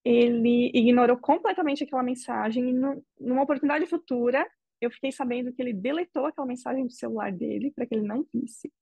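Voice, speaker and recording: A Brazilian woman interviewee telling her personal story in a measured, reflective way, often ending phrases with a questioning tag. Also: on the high side, gravelly, dark tone, husky, no room sound, lo-fi sound. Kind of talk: emotional personal testimony.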